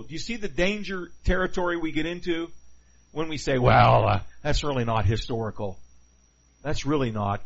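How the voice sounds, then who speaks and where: A man preaching a teaching sermon in a conversational way, often putting questions to his listeners. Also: a little high. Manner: conversational, asks the audience questions. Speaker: pastor. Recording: dull lo-fi sound.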